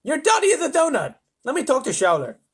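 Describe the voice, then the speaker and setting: Storybook role: man as hot-headed, self-important President. Raspy, animated, impatient bark. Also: a little fast, full character voice. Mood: indignant. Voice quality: deep rasping. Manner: pompous, barking, impatient. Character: blustering, hot-tempered male President of the United States.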